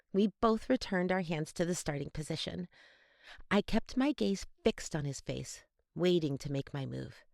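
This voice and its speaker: A woman giving an expressive, dramatic first-person audiobook narration of young adult fantasy. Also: deep voice, gravelly, dry recording, studio quality.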